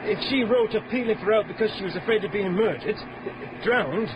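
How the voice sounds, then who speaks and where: An eager young police sergeant chatting in a radio drama. His voice is high and lively, with clear car noise running behind him. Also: a little fast, highs cut off.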